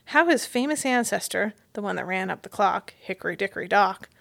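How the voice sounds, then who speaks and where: A woman reading a children's story aloud in an expressive, deliberate storytelling manner. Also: medium pitch, vocal fry. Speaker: mystery guest reader, school staff. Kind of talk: children's audiobook read-aloud.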